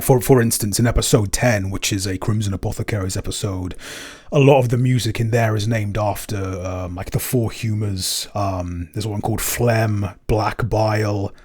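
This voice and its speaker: A man co-hosting a casual podcast Q&A, explaining things in a hesitant, measured way. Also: on the low side, a little mellow. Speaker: podcast co-host and producer. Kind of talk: casual Q&A chat.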